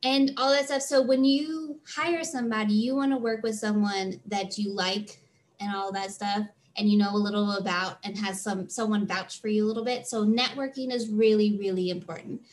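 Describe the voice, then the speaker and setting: Chatty woman with slightly high voice. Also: steady tone, normal speed. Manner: chatty, many "um" fillers, earnest. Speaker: guest, freelance theater tour manager. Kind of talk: casual interview answers.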